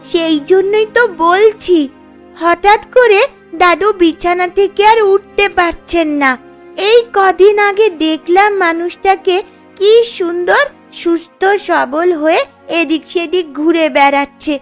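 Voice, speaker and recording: A woman's voice: very high voice, steady tone, measured pace. A woman voicing a poor, earnest village girl who pleads, in a children's cartoon story. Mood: pleading. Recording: music playing under the voice.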